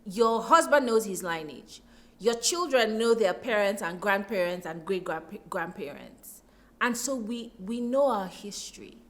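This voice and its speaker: A woman's voice: medium pitch, expressive, measured pace. A woman with a Nigerian accent talking reflectively as an interview guest, telling things expressively with emphatic repetition.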